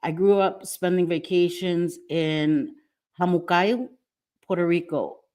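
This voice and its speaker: A woman's voice: fairly low pitch, takes it slowly, mellow. A middle-aged woman from New York, hosting a casual livestream, speaking emphatically and defiantly and repeating her phrases. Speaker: livestream host. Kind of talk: casual livestream chat, reading viewer comments. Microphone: webcam or phone mic, live stream.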